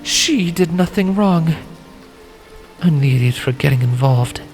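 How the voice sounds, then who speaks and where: A man's voice: fairly high pitch, expressive, unhurried, soft background music, voice pushed up. A man voicing a stern, stressed female unicorn in a storybook reading, with an urgent, curt manner. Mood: self-reproachful. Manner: urgent, hushed, snapping.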